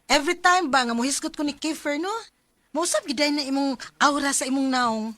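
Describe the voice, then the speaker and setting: An actress with a Filipino accent playing an expressive young best friend in a radio drama. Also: female voice, high voice, normal speed, dry recording. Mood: teasing, chatty.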